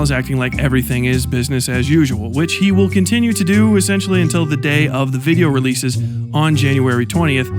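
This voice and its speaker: A man giving scripted commentary narration in a measured, dry, sardonic manner. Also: medium pitch. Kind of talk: scripted documentary-style narration. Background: guitar music.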